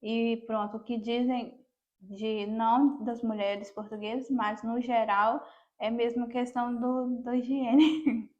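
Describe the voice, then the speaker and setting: A woman's voice: a little high, flat delivery, takes it slowly, a little mellow, highs cut off. A Brazilian woman talking casually as a podcast guest, sharing personal experience.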